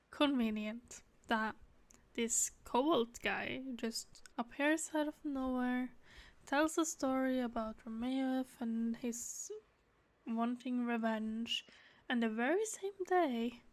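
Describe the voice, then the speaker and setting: A woman giving casual commentary while she streams a video game. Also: a little high.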